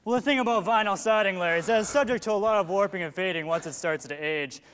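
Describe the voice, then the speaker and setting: Man acting a high, fast-talking, pushy salesman. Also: fairly bright tone. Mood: eager pitching. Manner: glib sales patter, talks over objections. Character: relentlessly upbeat, pushy male telemarketing salesman of the future. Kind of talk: comic stage play acting. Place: theater stage at a play festival. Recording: echoey stage hall, noticeable hiss.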